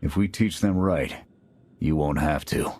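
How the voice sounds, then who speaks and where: A man playing a blunt, gruff military squad commander in a video game. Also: a bass voice, unhurried, darkish tone, heavily acted. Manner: gruff, blunt soldier talk.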